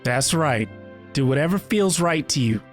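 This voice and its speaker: A woman's voice: low-pitched, lively intonation, moderate pace, soft background music. A woman giving casual gaming reaction commentary in short, sympathetic interjections.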